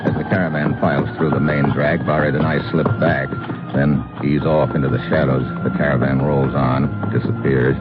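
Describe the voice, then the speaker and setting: An actor playing a tough, wisecracking American secret agent with slangy, hard-boiled delivery in an old-time radio drama. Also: male voice, a bass voice, flat delivery, moderate pace, over loud music. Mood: narrating, steady. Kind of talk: old-time radio detective acting and first-person narration. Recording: muffled old radio recording.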